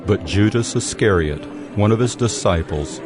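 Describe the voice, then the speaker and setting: A man giving scripted Bible narration as a measured storyteller. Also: lowish voice, lively intonation. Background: music.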